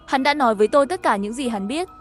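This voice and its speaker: A woman's voice: sits high, expressive, fast talker. A woman reading a scripted first-person story as its narrator, with music behind her.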